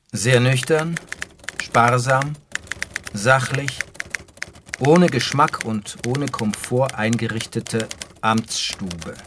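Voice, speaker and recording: Sober struggling writer played by a man, radio drama. Measured, unhurried, typewriter clicking behind. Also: mid-range voice, background noise, close to an everyday voice. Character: struggling writer, reading his screenplay aloud as he types. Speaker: actor playing a writer. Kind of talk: German radio play, measured reading and terse dialogue. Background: typewriter keys clicking.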